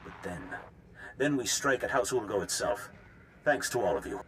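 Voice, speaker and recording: A man's voice: fairly low pitch, sing-song, moderate pace, a bit bright, slight background noise. A man playing a gracious, authoritative military leader in a video game. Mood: gracious, resolute. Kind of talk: video game character acting.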